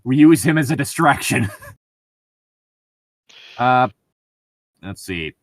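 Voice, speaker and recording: A man's voice: medium pitch, expressive, slow, no room sound. A man casually reading out and joking about tabletop gaming stories, amused and given to swearing. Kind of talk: casual group banter and story reading.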